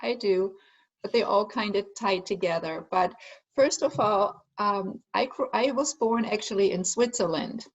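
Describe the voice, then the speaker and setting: A woman's voice: medium pitch, a little slow, muffled recording. Woman, interview guest, earnest, explains her work, fillers. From Germany. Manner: earnest, with frequent fillers and restarts. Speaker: guest, energy healer and aromatherapist. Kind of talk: conversational interview answers.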